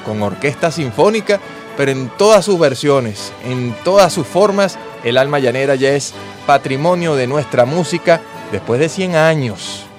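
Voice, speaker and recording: A man's voice: a little high, expressive, moderate pace. A Venezuelan man with a Venezuelan accent, hosting a talk radio show in an earnest, patriotic, speechlike way. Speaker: radio host. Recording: music bed behind the voice.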